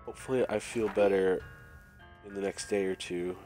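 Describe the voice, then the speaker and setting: A man commentating casually while playing a prison game, his voice raspy and nasal from a cold, thinking aloud as he plays. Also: low voice, steady tone, normal speed, a little mellow. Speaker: gameplay commentator. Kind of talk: casual let's-play commentary. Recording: faint game music behind.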